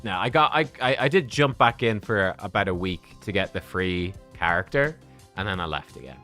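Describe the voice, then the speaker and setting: A man's voice: low voice, normal speed, bright, forceful tone, faint music behind. A man in his thirties chatting casually with viewers on a game livestream, rambling and joking at his own expense. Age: 30s.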